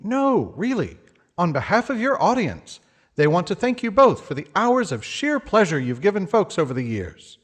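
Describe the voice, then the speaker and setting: A man playing an earnest, formal announcer in an old-time radio comedy, husky-voiced and flattering. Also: sits high, moderate pace, exaggerated voice. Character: Harlow Wilcox, polished, earnest 1940s radio announcer.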